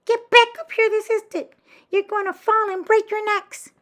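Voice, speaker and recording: A woman voicing a strict mother bird in a children's storybook, scolding in a sharp, bossy manner. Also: very high voice, steady tone, normal speed, voice pushed up. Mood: alarmed, scolding.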